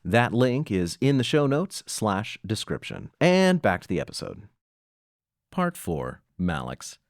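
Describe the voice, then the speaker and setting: A man's voice: on the low side, sing-song, measured pace, dry recording, studio quality. A man hosting and narrating a comic audio drama in scripted style. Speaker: host and narrator. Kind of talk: scripted story narration and announcements.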